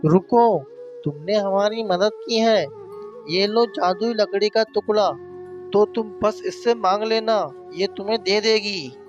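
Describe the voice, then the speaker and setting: A man's voice: sits high, slow pace, lo-fi sound. Man acting a grateful, helpful townsman. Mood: grateful, advising. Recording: music behind the voice.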